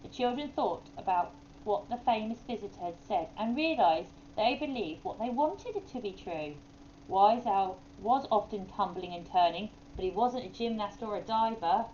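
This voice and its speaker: A woman's voice: mid-range voice, lively intonation, normal speed, warm tone, dull audio, slight background noise. A woman narrating a children's story expressively, as a primary school reader would.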